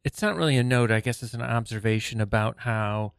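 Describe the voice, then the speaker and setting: A man in a casual group chat, an improv comedian who speaks haltingly and trails off as he thinks. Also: medium pitch, vocal fry, clear, resonant tone, no echo, clean studio sound. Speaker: improv comedian teaching on a podcast.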